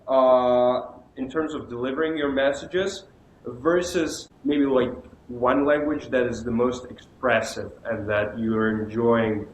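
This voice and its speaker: A man's voice: medium pitch, fairly even intonation, roomy sound, a little hiss or hum, poor audio. Hesitant male podcast interviewer. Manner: hesitant, many "uh" pauses. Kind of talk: casual interview.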